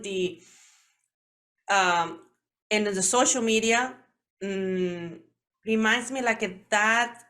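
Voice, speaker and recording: Mexican woman in her forties, bright voice, halting second-language English, interviewer. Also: mid-range voice. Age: 40s. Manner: halting non-native English, searching for words. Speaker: host.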